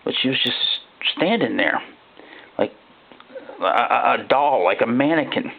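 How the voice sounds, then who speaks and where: A man narrating a first-person horror story in a folksy, halting, conversational way, like an ordinary guy confiding. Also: medium pitch, expressive, vocal fry. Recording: thin phone-like band-limited sound.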